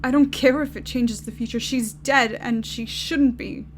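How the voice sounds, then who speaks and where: A woman's voice: a little high, fairly even intonation, measured pace, a little hiss or hum, lightly acted. A woman acting in an audio drama as a resolute, bitter young woman, blunt and commanding. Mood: cold, determined.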